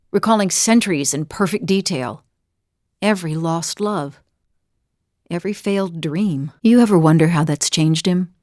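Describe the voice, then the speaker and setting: A woman's voice: lowish voice, no echo, clean studio sound, lightly acted. An actress playing a wry, world-weary adventurer woman in a video game, conversational and reflective, as if haunted by old memories. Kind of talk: video game character dialogue.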